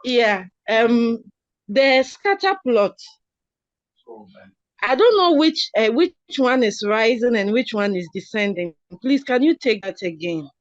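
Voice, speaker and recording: Una mujer estudiante habla despacio y con dudas, con una voz algo aguda. El audio de la llamada suena apagado y seco, sin eco. Duda a cada paso mientras formula su pregunta. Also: female voice, a little high, lively intonation, slow, no echo, dull audio. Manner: hesitant, unsure. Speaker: student.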